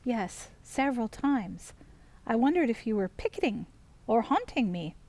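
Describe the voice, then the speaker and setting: Actress as self-possessed young woman, radio drama. Wry, fairly high, very animated. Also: unhurried.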